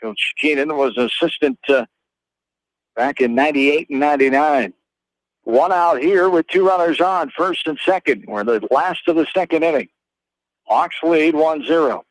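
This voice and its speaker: An American man calling a baseball game live on the radio as play-by-play announcer, in terse, clipped phrases with pauses. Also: fairly high pitch, dry recording, low-quality recording.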